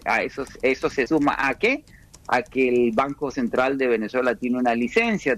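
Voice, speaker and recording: A Peruvian-born man speaking as an economist guest in a radio interview, explaining at a measured pace with frequent restarts. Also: mid-range voice. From Peru.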